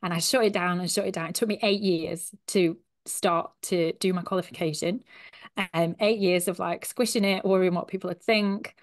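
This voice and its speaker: A chatty Yorkshire woman in her thirties, talking with her hands as she tells her story. Her voice sits a touch low. She sounds like she is on a video call from a small home office, wearing headphones. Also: fairly even intonation, moderate pace. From Sheffield, South Yorkshire, England. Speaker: guest, human design coach. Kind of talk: casual interview chat.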